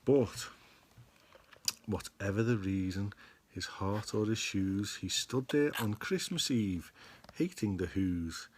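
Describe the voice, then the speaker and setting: A man reads a storybook aloud for a podcast. His voice is fairly low and husky, and he takes the narration at a slowish, measured pace. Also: lively intonation. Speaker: podcast host reading aloud.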